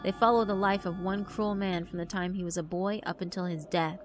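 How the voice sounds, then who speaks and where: A woman narrates a horror visual novel in a fairly low voice. Her reading is scripted storytelling. Music plays clearly underneath. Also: steady tone, brisk. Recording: music behind the voice. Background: background music.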